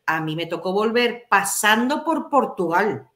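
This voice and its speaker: A woman's voice: medium pitch, expressive, a little slow, a bit bright. A middle-aged woman with an accent from Spain, chatting casually as a host on a video call. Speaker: channel host. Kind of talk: casual chat. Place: indoor room, on a video call.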